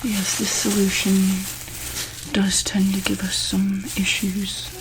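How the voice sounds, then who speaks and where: A woman softly speaking and whispering in an ASMR roleplay as a calm, gentle doctor, with hesitant, reassuring bedside talk. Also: medium pitch, slow pace, noisy background. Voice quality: soft, breathy, often dropping into whisper. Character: calm, attentive doctor at a monster hospital. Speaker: ASMR roleplayer playing a medical examiner.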